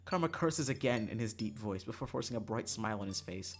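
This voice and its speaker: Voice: mid-range voice, lively intonation, fairly quick. A streamer reading a visual novel aloud on a livestream, expressively, breaking into exasperated, profane asides. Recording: music behind the voice. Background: game music.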